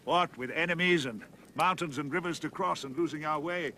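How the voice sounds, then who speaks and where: A doleful marsh creature for an audio drama. His voice sits fairly high for a male, lugubrious and fretful, forever forecasting disaster. Some background noise surrounds him. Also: a little fast, pushed and bright. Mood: dolefully pessimistic. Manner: lugubrious, always predicting the worst.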